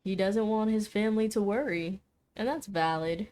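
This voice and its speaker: A woman's voice: medium pitch, normal speed, darkish tone. A woman game streamer giving casual, wry and teasing commentary on a playthrough.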